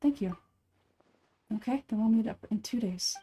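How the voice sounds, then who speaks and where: A woman voicing an aloof, calculating male scholar from a video game, speaking in a formal, measured, clinical way. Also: fairly high pitch, dark tone, a little hiss or hum, lightly acted. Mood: businesslike, composed. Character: aloof, scholarly young man, calculating and composed researcher. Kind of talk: game dialogue read aloud.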